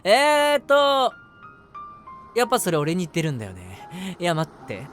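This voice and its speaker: A man's voice: high voice, normal speed, faint music behind, full character voice. A man playing a lovestruck, self-deprecating young man who narrates his crush in a chatty, flustered way in a voice drama. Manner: flustered, animated, self-mocking, talking to himself. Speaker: voice actor. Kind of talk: first-person situation voice drama, chatty narration with acted reactions.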